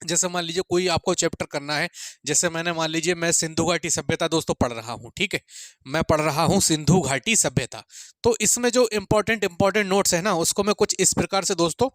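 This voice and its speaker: A man's voice: on the high side, brisk, fairly bright tone, dry recording. A man giving an informal exam-prep lecture, repeating his points and often checking in with students in a friendly way.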